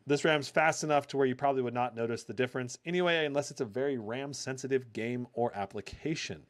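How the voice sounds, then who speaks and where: A man hosting a live tech review, a loud voice, chatting casually through benchmark numbers with plenty of fillers. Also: a little high.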